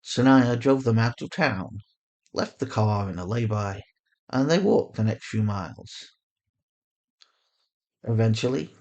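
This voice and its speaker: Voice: medium pitch, a little mellow, highs cut off. A narrator reading scripted fiction, telling the story in the third person.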